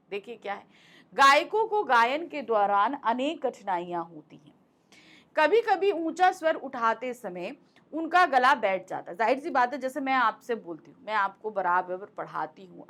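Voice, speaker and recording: A woman's voice: fairly high pitch, a bit bright. A woman teacher explaining a school lesson in a conversational way, repeating points and asking rhetorical questions, speaking close to a microphone. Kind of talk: explaining a Hindi lesson to students. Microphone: close mic.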